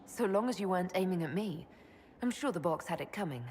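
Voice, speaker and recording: A woman acting a composed leader-heroine in a video game drama. Her slightly low voice is calm and reassuring yet moves freely in pitch. A little noise sits in the background. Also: fast talker, close to an everyday voice. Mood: wry. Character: composed, gently wry heroine, supportive leader.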